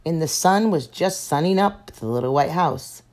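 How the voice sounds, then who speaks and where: A woman reading a children's picture book aloud in a slow, gentle and expressive way. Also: deep voice. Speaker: storyteller.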